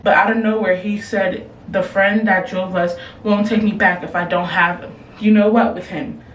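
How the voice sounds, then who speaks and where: A woman's voice: medium pitch, moderate pace, distant mic, a little hiss or hum. Blunt young woman vlogging in a roomy space. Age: young adult. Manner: blunt, slangy, animated reactions. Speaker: advice vlogger reading submissions. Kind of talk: casual advice chat. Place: room at home. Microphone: camera, later phone.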